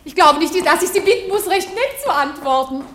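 A woman's voice: extremely high pitch, lively intonation, brisk, noisy background. A woman in a radio play acting a sly, discreet maid who serves as a knowing go-between.

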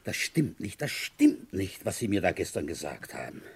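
A man playing an ailing, dogged police inspector in his fifties in a radio crime drama, weary and blunt, with a husky voice. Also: low voice, wildly swooping pitch, normal speed, heavily acted. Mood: accusing. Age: 50s. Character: ailing, dogged French village police inspector, fifty-five. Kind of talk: German radio crime drama acting and first-person narration.

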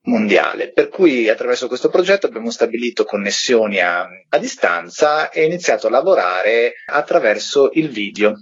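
A man's voice: mid-range voice, slightly rough, highs cut off. A man, a theatre company member, explaining his work articulately as a guest in a radio interview.

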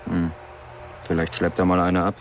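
A man's voice: deep voice, completely flat delivery, normal speed, a little hiss or hum. An actor playing a cheeky teenage Parisian errand boy in a radio play, laconic and casual. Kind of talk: crime radio drama dialogue.

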